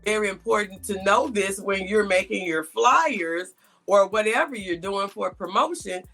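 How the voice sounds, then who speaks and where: A woman's voice: mid-range voice, moderate pace, creaky, a bit bright, soft background music. A woman hosting a conversational interview, speaking emphatically and repeating key points for stress. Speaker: podcast host and interviewer.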